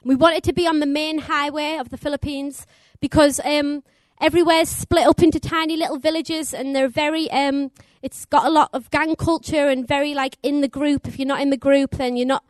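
Chatty high-voiced young woman preaching. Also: fairly even intonation, a little fast, few pauses, dry recording. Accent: put-on American twang on English. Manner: chatty, laughs often, upbeat. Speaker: missionary preacher. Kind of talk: sermon. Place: church.

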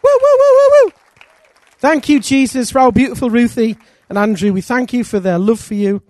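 A man's voice: extremely high pitch, sing-song, normal speed, no echo, a faint crowd. A middle-aged man, a church pastor, introducing a guest preacher with enthusiasm and leading a prayer. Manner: warm enthusiastic introducer, cheering.

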